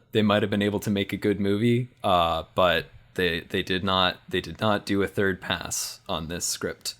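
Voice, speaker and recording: A man talking casually as a podcast panelist, musing critically as he goes. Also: fairly low pitch. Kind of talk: casual film discussion.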